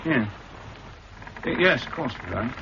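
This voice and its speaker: An actor playing Bill, a polite but guarded nautical engineer, in a 1960s radio drama. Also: male voice, medium pitch, lively intonation, a little slow. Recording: dull lo-fi archive radio recording, faint hiss.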